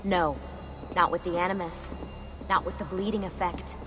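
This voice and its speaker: A woman's voice: on the low side, fairly even intonation, normal speed, close to an everyday voice. Actress playing earnest young woman rebel, music behind. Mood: grave, persuasive. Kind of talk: video game cutscene acting.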